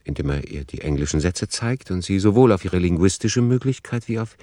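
A man reading scripted radio drama narration in a formal, matter-of-fact, measured manner. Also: low voice, expressive, dark tone, no room sound.

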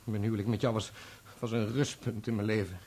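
An actor playing a bitter, betrayed husband in a Dutch radio play, sarcastic and accusing in manner. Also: male voice, fairly low pitch, little pitch movement, a little fast, a little mellow, lightly acted. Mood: bitter, weary. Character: bitter, betrayed husband, proud and wounded musician.

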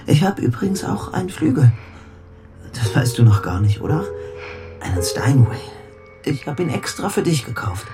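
An actor playing an obsessive, secretive bachelor in a German radio drama, intense and shifting between hushed muttering and outbursts. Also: male voice, a little high, big pitch swings, normal speed, pauses often, over music. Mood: pleading, eager.

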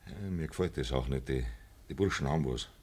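Farmhand: low-pitched, Bavarian dialect, timid and halting, radio drama. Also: male voice, darkish tone. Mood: hesitant, worried. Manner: halting, evasive.

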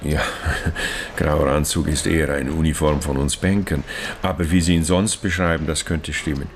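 Very deep, creaky male banker. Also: flat delivery, slight background noise. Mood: measured, explanatory. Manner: formal, circumlocutory, hesitant with pauses. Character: formal, discreet, fussy Swiss banker, courteous and measured. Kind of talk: radio crime drama acting.

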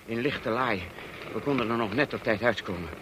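An actor playing a flustered, deferential servant of a great house in a radio drama, hesitant and stammering. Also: male voice, mid-range voice, a little fast, background noise, lightly acted. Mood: flustered, grim.

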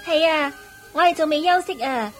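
An actress playing a deferential young palace dancing maid in a Cantonese radio drama, with a singsong announcing delivery. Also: female voice, high voice, moderate pace, a bit bright, soft background music. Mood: cheerful, deferential.

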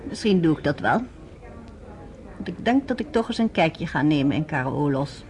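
An actress playing a proud, curious American nurse in a radio drama, talking firmly and with challenge. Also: female voice, deep voice, animated, normal speed, a little mellow.

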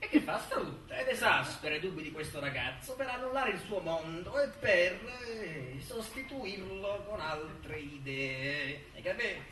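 A theatrical prosecutor, scornful and accusing, in a stage monologue. His high voice declaims with long pauses and sudden swells. It is heard from far off in an echoey, noisy live recording. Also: expressive, a crowd in the background. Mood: indignant, sneering. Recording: distant, echoey live recording with background noise.

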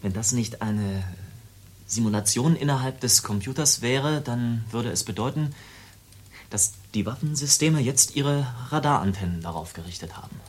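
An actor in a German radio play, playing a military radar operator who explains doomsday machinery in a casual, brooding, halting way. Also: male voice, mid-range voice, darkish tone, lightly acted. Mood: eagerly demonstrating.

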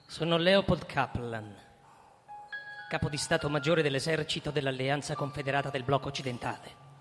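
A man's voice: mid-range voice, close to an everyday voice. Man playing a pompous retired army chief in an echoey hall. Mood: pompous, firm. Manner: formal, authoritative, deliberate. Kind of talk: radio drama acting. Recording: echoey hall, music underneath. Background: music.

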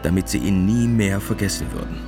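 Deep-voiced male narrator over music. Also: a little fast. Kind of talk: scripted radio drama narration.

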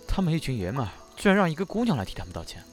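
A man's voice: a little high, sing-song, very fast, faint music behind. An actor playing an aloof, sardonic young nonhuman man in a costume audio drama, with a detached way of talking. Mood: cool, guarded.

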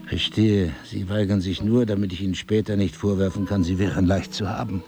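An intense husband in an intimate radio play, with a low, mellow voice. He is eloquent and keeps probing, pressing every question with insistence, over music. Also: steady tone, fairly quick. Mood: pressing, reproachful. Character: intense, probing crime-writer husband with memory loss. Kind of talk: intimate two-person audio drama acting.

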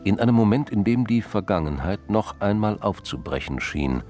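A man's voice: fairly low pitch, animated, moderate pace, dark tone. A man narrating a radio crime drama from a script. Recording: music behind the voice.

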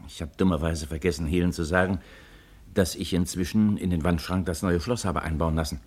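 An actor playing an earnest, polite husband who confides in a friend, in an old German radio crime play. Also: male voice, low-pitched, fairly even intonation, fairly quick, a little mellow, husky. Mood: troubled, confiding. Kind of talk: mid-century German radio crime drama acting.

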